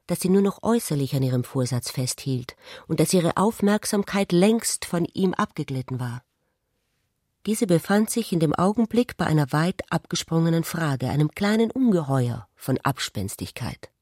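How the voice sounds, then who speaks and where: A woman reading literary narration in a measured, contemplative manner for a radio play. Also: low voice, lively intonation, a little mellow, no room sound, studio quality.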